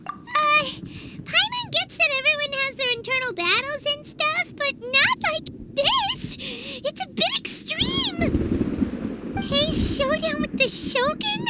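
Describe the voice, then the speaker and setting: A woman voicing a small, childlike, excitable fairy girl in a video game, squeaky and dramatic, easily alarmed. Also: slow, a little hiss or hum, exaggerated voice. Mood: worried. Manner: exclaiming, dramatic, speaks of herself in third person. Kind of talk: animated video game voice acting. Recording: loud music behind the voice. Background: loud game music.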